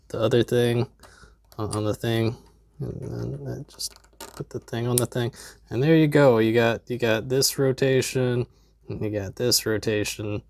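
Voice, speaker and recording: A young man in his twenties with a lapel mic in a basement, narrating a comedic DIY tutorial in a deadpan, jokey way with mumbled asides. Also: mid-range voice, gravelly, no room sound, studio quality. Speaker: DIY maker vlogger. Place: basement workshop, indoors.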